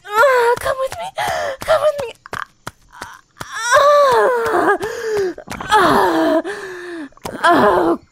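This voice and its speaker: A woman voicing a teasing, confident adult lover, speaking in a breathy, whispery way. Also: mid-range voice, takes it slowly, pauses often, a crowd in the background. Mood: passionate. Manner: breathy, whispery, moaning delivery. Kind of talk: scripted adult audio roleplay.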